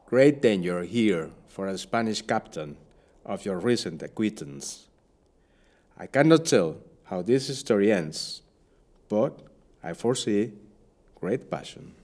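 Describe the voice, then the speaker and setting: An actor playing a shipwrecked Spanish captain in an audio play, a courtly flatterer with a florid, theatrical way of speaking. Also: male voice, lowish voice, slow, pauses often. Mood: grave, intimate. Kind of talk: audio play acting and narration.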